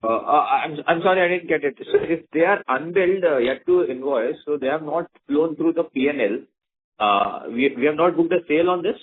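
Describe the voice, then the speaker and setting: A man's voice: a little high, brisk, husky. A man with an Indian accent asking questions as an investor on a conference call, heard over a phone line. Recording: tinny narrow phone line.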